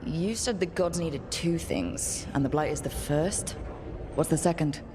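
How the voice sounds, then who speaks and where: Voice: mid-range voice, unhurried, background noise, close to an everyday voice. An actor playing a determined, blunt team leader in a fantasy video game, direct and plain-spoken. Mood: questioning. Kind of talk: video game cutscene acting.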